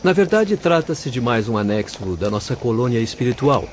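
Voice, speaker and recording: Male actor for learned Brazilian spirit doctor: formal, didactic, mid-pitched; dull recording with faint noise. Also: very animated, normal speed, lightly acted. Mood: grave, explanatory. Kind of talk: audio drama acting.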